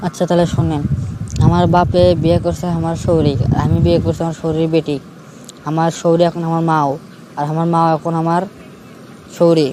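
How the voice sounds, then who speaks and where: A man's voice: on the high side, level tone, a little fast, lightly acted. A man playing a cranky, haggling village madman uncle in a comic cartoon, quarrelsome and repetitive, with a Bogura accent from northern Bangladesh. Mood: explaining. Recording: noisy recording with clear background noise.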